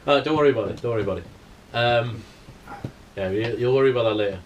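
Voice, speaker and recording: A man co-hosts a loose chat and trades teasing jokes with his friends. His voice sits at an average pitch. A faint hiss and small clicks sit behind him. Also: fast talker. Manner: jokey, teasing. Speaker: podcast co-host. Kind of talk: casual banter.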